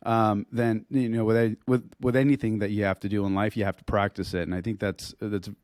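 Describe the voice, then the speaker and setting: A man co-hosting a podcast in casual chat, his voice hoarse as he loses it, talking in a rambling way with restarts and throat clearing. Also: fairly low pitch, level tone, a little fast, a little mellow, no room sound, clean studio sound. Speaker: podcast co-host.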